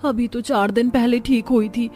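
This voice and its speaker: A woman's voice: a little high, steady tone, normal speed, mellow, faint music behind, lightly acted. A woman voicing young village daughters-in-law in a moral-story cartoon, theatrical and exclamatory as they bicker and complain. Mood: pained grumbling.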